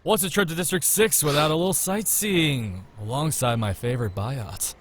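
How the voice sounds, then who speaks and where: A man's voice: fairly high pitch, moderate pace, natural voice. A man voicing a cocky, playful male love interest in a visual novel, teasing and breezy, over music. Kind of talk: visual novel character reading.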